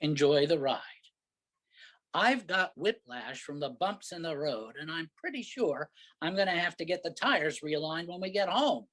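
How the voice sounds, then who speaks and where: A man's voice: on the high side, lively intonation, moderate pace, a bit bright. Man playing a sarcastic, exasperated husband. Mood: exasperated, grumbling. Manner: sarcastic, grumbling. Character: sarcastic, exasperated husband driving lost in the country. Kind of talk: staged reading of a comic play.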